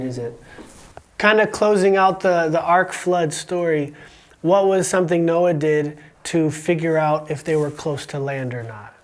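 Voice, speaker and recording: American man hosting a student podcast. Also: on the high side. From Romania. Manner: encouraging, asks quiz questions, jokey. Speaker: podcast host. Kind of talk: casual interview with a student.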